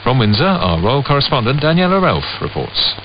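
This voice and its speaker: A man reading a scripted radio news bulletin in a steady, even way. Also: mid-range voice, brisk. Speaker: newsreader. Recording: muffled shortwave radio with faint static.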